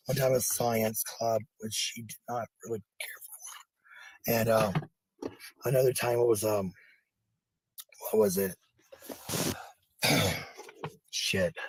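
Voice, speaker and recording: A man's voice: medium pitch, a little mellow, slightly rough, rough recording. A middle-aged man talking casually in a rambling monologue, blunt and defensive, with some swearing. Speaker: parent talking about family life.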